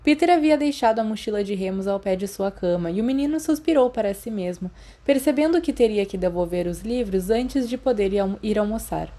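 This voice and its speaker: Audiobook narrator, female, Brazilian accent, medium pitch, soft warm tone, scripted story reading. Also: lively intonation, a little fast, hardly any pauses. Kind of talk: scripted narration of fan fiction.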